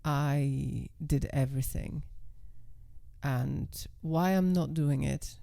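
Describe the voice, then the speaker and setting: A woman guest with a naturally low voice, speaking in a calm, level and assertive way, with slightly non-native English phrasing. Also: slow pace, gravelly, dry recording, clean studio sound. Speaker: interview guest, professional dominatrix. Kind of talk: casual conversation.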